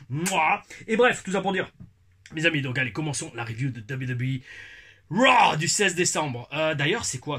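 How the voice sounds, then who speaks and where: A man's voice: medium pitch, fairly bright tone, breathy edge. A man in his forties hosting a casual wrestling review video, exuberant and rambling, repeating his catchphrases.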